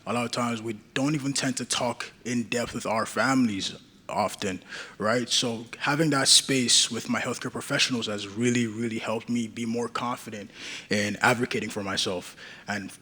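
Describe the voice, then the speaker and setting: A man's voice: medium pitch, moderate pace, bright, forceful tone, slightly rough. A young man giving an earnest conference talk as a patient advocate, with many fillers and restarts. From Nigeria.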